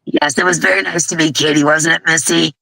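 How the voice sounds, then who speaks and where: A woman hosting a crochet live stream, talking bluntly and casually and rambling a bit, her voice going hoarse. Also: low-pitched, steady tone, fairly quick, bright, forceful tone, rough recording. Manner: blunt, chatty, rambling, with frequent restarts.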